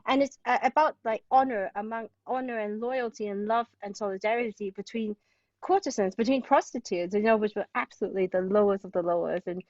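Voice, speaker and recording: A woman's voice: medium pitch, fairly even intonation, a little fast, darkish tone, no room sound. A woman from Hong Kong chatting enthusiastically about plays as a guest playwright, digressing and peppering her talk with "like" and "you know". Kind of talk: casual literary discussion. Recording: distant, dull lo-fi sound.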